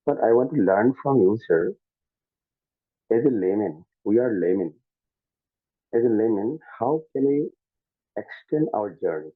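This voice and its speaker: A man's voice: fairly low pitch, animated, measured pace, pauses often, dry recording. A man with an Indian accent calling in live to ask a question, speaking politely and deferentially. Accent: Indian English. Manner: polite and deferential, keeps saying sir. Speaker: caller, partner asking a question. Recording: dry, phone-like call line.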